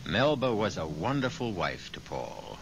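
A man acting as a wry storyteller host, narrating a 1970s radio drama in a measured way. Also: low voice, huge pitch swings, vocal fry, full character voice, poor audio. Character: wry male storyteller host of a mystery play. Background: prominent music.

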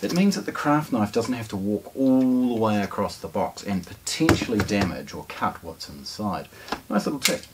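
A man's voice: lowish voice, sing-song, normal speed, background noise. A man presents a product in a casual, plain-spoken unboxing style, talking to camera indoors. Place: indoors, small showroom with shelves.

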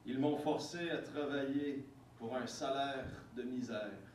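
Brief: man, slow deliberate poetry reading, roomy hall sound. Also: mid-range voice, darkish tone, slight background noise. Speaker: poetry reader. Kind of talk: stage poetry recitation. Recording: echoey hall from far off.

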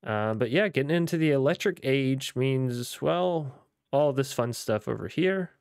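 Young gaming YouTuber, male, chatty and upbeat, voice going a bit hoarse, dry close mic sound. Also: medium pitch, animated, a little slow, a little mellow, no echo. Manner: chatty, upbeat, rambling with fillers. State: losing his voice. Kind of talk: casual gameplay commentary.

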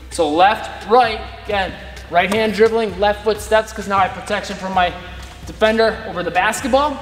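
High-voiced man coaching in an echoey gym. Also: background noise. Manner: casual, talks while demonstrating moves. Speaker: basketball coach. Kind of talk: instructional video. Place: large indoor gym. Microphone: far camera. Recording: echoey gym with long reverb.

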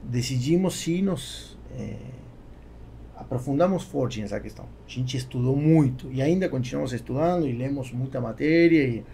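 A man's voice: medium pitch, normal speed, gravelly, warm tone, slight background noise. A man in his forties from Argentina, a native Spanish speaker with an Argentine accent, chatting as a podcast guest and often searching for words. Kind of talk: conversational interview. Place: small foam-treated podcast studio. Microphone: close podcast microphone on boom arm.

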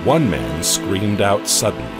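A man narrating a horror audio comic in a dramatic, measured storytelling manner. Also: deep voice, big pitch swings. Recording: music bed underneath.

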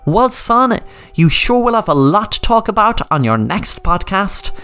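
A woman's voice: medium pitch, big pitch swings, measured pace, fairly bright tone, clear, resonant tone, voice pushed up. A woman voicing a shrewd, commanding male sheriff who talks to his men in a knowing, fatherly way. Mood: relieved.

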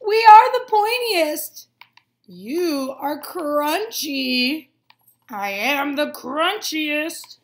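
Woman voicing boastful little grass blades, high and drawn-out. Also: very animated, full character voice. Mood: proud. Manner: emphatic, drawn-out declarations.

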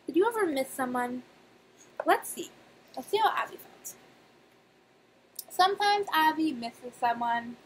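A young woman, a nursery teacher, reading aloud and talking to young children in simple, expressive speech with emphatic pauses. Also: high voice, poor audio. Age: young adult. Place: small indoor room. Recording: clear background noise, webcam-style room recording.

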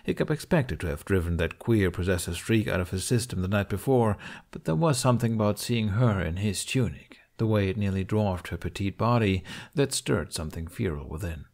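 A man narrates a fiction audiobook from a script. His voice sits fairly low, and his intonation is varied and lively throughout. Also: fairly quick, vocal fry, few pauses.

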